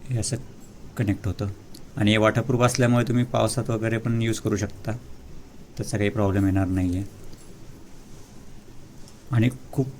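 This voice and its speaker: Low, flat-toned Indian man, halting delivery. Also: a little hiss or hum. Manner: halting, pausing between short phrases. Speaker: vlogger presenting a product unboxing. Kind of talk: casual unscripted product walkthrough.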